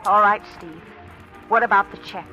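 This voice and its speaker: A woman's voice: mid-range voice, moderate pace, sharp tone, lightly acted, rough recording. An actress playing an anxious young woman with a guilty secret, tense and hesitant, in a 1940s radio drama style. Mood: nervous, hostile. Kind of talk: 1940s radio acting, with confessional first-person narration. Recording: old radio drama recording, music underneath.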